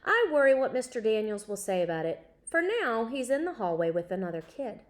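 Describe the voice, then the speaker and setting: A woman reading a children's novel aloud in an expressive storytelling style. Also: fairly high pitch, brisk.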